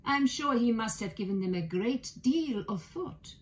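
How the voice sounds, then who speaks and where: Woman playing a formal, kindly older gentleman. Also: a little high, lively intonation. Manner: formal, measured, courteous. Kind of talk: children's storybook reading.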